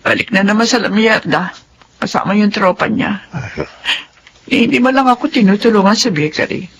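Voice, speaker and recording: A woman's voice: medium pitch, moderate pace, a bit bright, lightly acted. A woman acting as a weary middle-aged mother in a Filipino radio drama. She has a Filipino accent and sounds burdened, with faint noise behind her. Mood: complaining.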